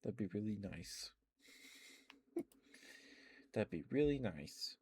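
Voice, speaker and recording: A man chatting in a soft, rambling ASMR style, close to the mic, with many fillers and small laughs. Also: lowish voice, animated, very slow, a little hiss or hum. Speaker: ASMR streamer.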